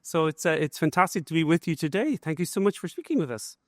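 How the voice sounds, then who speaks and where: A man's voice: fairly high pitch, animated, quick pace, dry recording, clean studio sound. A man hosting a podcast interview at a conference, chatty and enthusiastic, with many fillers and restarts. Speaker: host, business school professor. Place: conference event.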